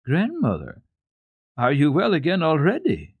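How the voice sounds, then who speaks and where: A man's voice: fairly high pitch, wildly swooping pitch, measured pace, breathy edge, dry recording, phone-quality sound, voice pushed up, exaggerated voice. A man voicing an eager, anxious, tender-hearted little girl with an earnest, questioning manner in a storybook reading.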